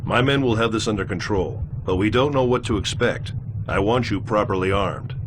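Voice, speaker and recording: Army major, played by a male actor: low, in command, businesslike, video game drama with background noise. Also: moderate pace, natural voice. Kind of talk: video game cutscene acting. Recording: clear background noise, vehicle-like ambience.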